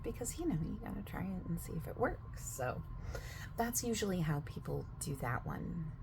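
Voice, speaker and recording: A woman speaking softly in an ASMR roleplay as a gym staff member, chatty and overexplaining, often dropping into a whisper partway through a sentence. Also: lowish voice, big pitch swings, normal speed, gravelly, background noise. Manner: soft-spoken, slipping into whispers mid-sentence. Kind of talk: soft-spoken ASMR sales roleplay.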